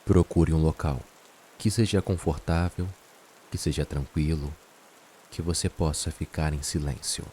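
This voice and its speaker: Deep, slow Brazilian man guiding meditation. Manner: soothing, unhurried, with long pauses. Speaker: meditation guide. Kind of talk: guided meditation narration. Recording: steady rain and hiss behind voice. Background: rain sounds.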